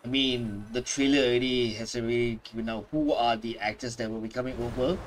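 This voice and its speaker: A man casually commenting while playing a story game, relaxed and chatty. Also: mid-range voice, fairly quick. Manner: relaxed, chatty, with small restarts. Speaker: gaming reaction host. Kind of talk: casual commentary over gameplay.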